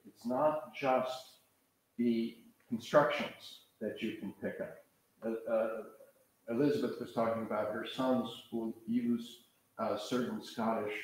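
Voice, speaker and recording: An older man from the American Pacific Coast lectures in a big hall, his middle-pitched voice bouncing around with heavy echo. He talks in a loose, digressive way, recorded from a distance. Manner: conversational, digressive, gestures while pacing. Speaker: professor leading a master class. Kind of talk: lecture to a seated audience. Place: large lecture hall with red seats. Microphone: far camera.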